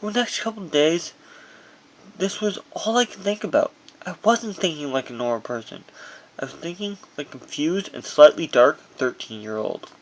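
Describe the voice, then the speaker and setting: A man reading his own first-person memoir as audiobook narration, in a halting delivery that stumbles over words. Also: on the high side, lively intonation, slight background noise, low-quality recording.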